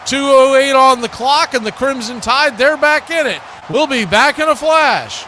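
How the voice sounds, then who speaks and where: Male play-by-play announcer, high-pitched, short punchy football calls, noisy live broadcast. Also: expressive, moderate pace, bright, forceful tone. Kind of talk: live radio football commentary.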